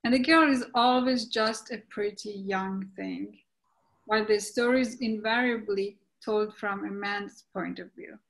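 Woman playing jolly outspoken aging mother, verse play narrator, very slow, halting, stumbles over words. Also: mid-range voice, fairly even intonation, gravelly, rough recording. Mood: wry. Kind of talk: scripted verse play reading. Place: indoors on a video call. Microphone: video call.

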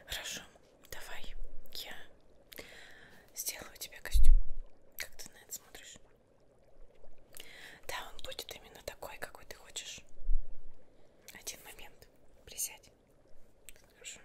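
A young woman whispers an ASMR roleplay as a shopkeeper, coaxing the listener softly and unhurriedly, often repeating words, close to the mic indoors. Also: unhurried, long pauses. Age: young adult. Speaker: ASMR roleplayer playing a witch tailor.

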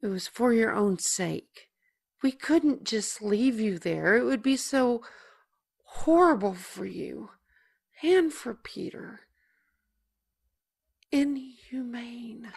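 A woman playing a tender, protective older sister in an audio drama, speaking with halting emotion. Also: on the high side, expressive, vocal fry, husky, heavily acted. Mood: pleading.

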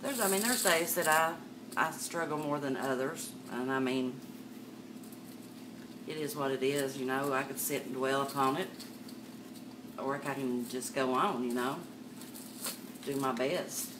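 Older woman host, low voice, chatty live-sale patter, webcam a bit far off with room noise. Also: unhurried, pauses often. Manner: chatty, calls viewers darling and miss. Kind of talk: live sales stream, chatting to viewers. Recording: distant mic with background noise.

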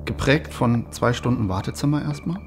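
A man's voice: medium pitch, moderate pace, faint music behind. A man in his thirties giving a reflective personal testimony as a cancer patient. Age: 30s.

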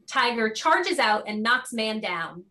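A woman reads stage directions as a narrator. Her voice is on the high side and clearly bright, delivering scripted narration for a play. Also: big pitch swings, slow.

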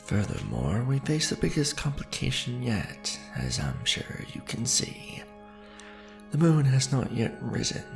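A man playing a centuries-old aristocratic vampire lord in an ASMR roleplay, with a gravelly voice and formal, grandiose, measured delivery. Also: medium pitch, wildly swooping pitch, over music, full character voice. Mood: grave, brooding. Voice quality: gravelly, creaky. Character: centuries-old male vampire lord, imperious, aristocratic, tender to his beloved.

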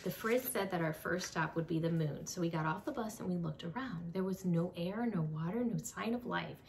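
A young woman reading a children's storybook aloud in an expressive storyteller style. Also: a little fast, vocal fry. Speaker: children's book reader. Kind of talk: animated storybook read-aloud. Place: small home room with bookshelves. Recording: slightly distant mic, faint rumbling noise.

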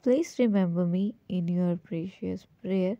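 A woman hosting a home decor video, talking slowly over a slideshow, often saying "so viewers" and pausing with "uh". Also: fairly low pitch, warm tone, dry recording, dull audio. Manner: repeats "so viewers", many "uh" pauses. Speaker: channel host.

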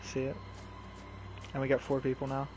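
A young man chatting casually and swearing while he plays a horror game with teammates, giving quick directions. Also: medium pitch, monotone, over music. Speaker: streamer playing a horror survival game. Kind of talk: casual gameplay chat with teammates. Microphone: console headset mic.

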